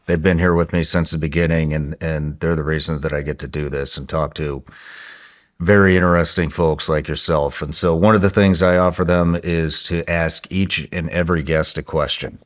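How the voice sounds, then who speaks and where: A man's voice: extremely low pitch, steady tone, moderate pace, dry recording, clean studio sound. A man hosting an interview podcast, speaking casually and often stopping to repeat his words. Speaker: podcast host.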